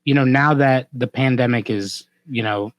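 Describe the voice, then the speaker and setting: A man's voice: medium pitch, moderate pace, dry recording. An American man co-hosting a podcast, chatting casually in loose, informal phrasing. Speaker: podcast co-host. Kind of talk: casual chat.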